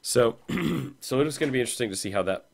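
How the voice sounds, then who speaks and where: A man with a slightly hoarse, rough voice, co-hosting a casual podcast chat about comics and rambling with fillers and restarts. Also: medium pitch, animated, fast talker, a little hiss or hum. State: hoarse, losing his voice. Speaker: podcast co-host.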